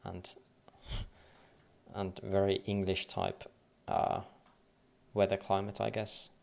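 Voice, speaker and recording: A man's voice: low-pitched, flat delivery, takes it slowly, vocal fry, a little mellow. A man from Finland with a light Finnish accent, thinking aloud and counting waves under his breath as he goes through charts on a casual trading livestream.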